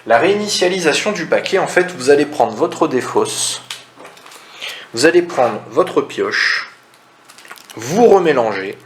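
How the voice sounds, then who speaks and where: A man's voice: fairly high pitch, big pitch swings, unhurried, long pauses. Echoey man casually explaining game rules. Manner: conversational, didactic, asks questions then answers them. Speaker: board game rules presenter. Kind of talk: casual step-by-step tutorial. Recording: roomy echo, audible background noise.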